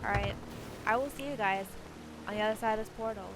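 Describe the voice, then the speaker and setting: A woman's voice: mid-range voice, quick pace. A woman hosting a casual game walkthrough, chatting informally and addressing viewers directly. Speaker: gameplay video host. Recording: poor home mic, faint noise.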